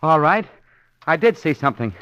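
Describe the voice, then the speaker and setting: An actor playing a nervous, frightened, fatalistic city employee in an old-time radio crime drama, agitated and halting. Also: male voice, fairly high pitch, big pitch swings, sharp tone, clear, resonant tone, highs cut off. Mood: terrified. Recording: noisy vintage radio recording with clicks.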